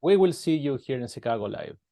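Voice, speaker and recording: A man with a Greek accent, whose first language is Greek, asks friendly, halting questions over a video call. He laughs often between his words. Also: mid-range voice, animated, dark tone. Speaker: interviewer, podcast host. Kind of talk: casual video-call interview.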